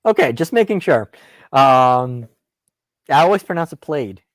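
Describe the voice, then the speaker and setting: A man's voice: mid-range voice, very animated, measured pace, no room sound, clean studio sound. A man whose first language is Russian, hosting a casual live question-and-answer stream about guns in a rambling, self-deprecating, lightly salty way.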